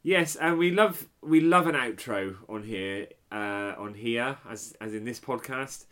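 A man with a mid-pitched voice, co-hosting a relaxed music podcast. He chats easily, drops dry jokes, and fills in chart and release details. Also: unhurried. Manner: chatty, dry humour, gives background facts. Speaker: podcast co-host. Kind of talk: casual chat reviewing an album.

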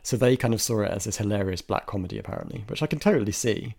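A man's voice: fairly low pitch, lively intonation, very fast, vocal fry, darkish tone. A man co-hosting a casual film discussion, chatty and thoughtful, with frequent restarts and hedges. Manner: chatty, many restarts and hedges, bursts of laughter. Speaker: film podcast co-host.

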